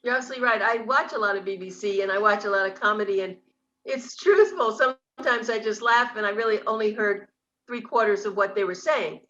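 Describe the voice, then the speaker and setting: A woman's voice: mid-range voice, brisk, a bit bright, dull audio. A woman hosting a casual interview, chatty and enthusiastic. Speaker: interview host.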